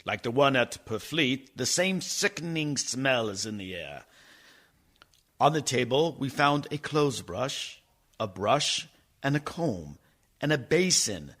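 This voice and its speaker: A man plays a vampire hunter in a radio drama. His medium-pitched voice reports grim findings soberly, with measured and grave storytelling. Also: fairly bright tone. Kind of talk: radio play acting.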